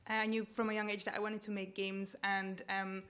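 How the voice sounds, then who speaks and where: A woman's voice: mid-range voice, flat delivery, moderate pace, fairly bright tone. A woman from Hungary giving evidence at a committee hearing, earnest and full of "you know" fillers. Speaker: committee witness.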